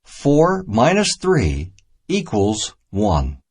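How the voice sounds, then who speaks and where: A man narrating a simple children's math storybook as a scripted, instructional read-aloud, in deliberate, separated phrases. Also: fairly low pitch, huge pitch swings, a little mellow, breathy edge.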